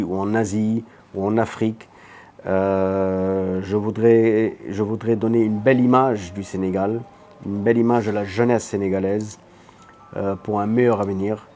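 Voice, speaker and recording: A man's voice: lowish voice, warm tone. A man with a Senegalese French accent, a guest in an interview, talking in an earnest, emphatic way and often repeating his words. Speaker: guest, motorbike shop owner. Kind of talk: interview answers.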